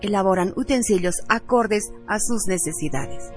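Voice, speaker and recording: A woman's voice: medium pitch, expressive, moderate pace. A woman reading a scripted radio narration in a solemn style. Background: background music.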